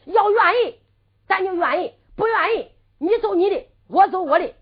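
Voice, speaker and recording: A woman with a Henan accent voicing a teasing, sharp-tongued young servant girl in quick sing-song banter, on a muffled lo-fi recording. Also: extremely high pitch, lively intonation, voice pushed up. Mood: scolding. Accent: Henan dialect.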